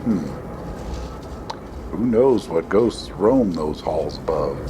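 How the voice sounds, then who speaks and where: A man playing a kindly lord commander in a fantasy audio drama, proud but worried, with measured, formal speech. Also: deep voice, animated, background noise. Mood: uncertain, pondering.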